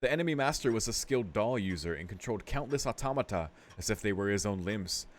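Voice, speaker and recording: A man's voice: lowish voice, expressive, fast, slight background noise. A man playing a visual novel, reading its text aloud with casual, sweary commentary and muttered asides. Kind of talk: casual gaming commentary mixed with read-aloud narration.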